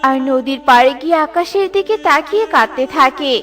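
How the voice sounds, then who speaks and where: A woman narrates a children's cartoon story from a script. Her voice is high, and music runs behind her. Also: animated, a little fast, a bit bright.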